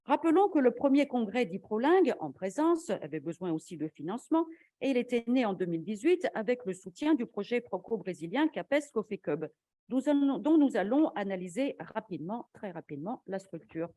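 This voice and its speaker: Soft-toned woman giving an academic lecture. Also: on the high side, big pitch swings, brisk, no echo. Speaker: invited conference speaker, academic. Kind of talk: formal academic lecture with slides.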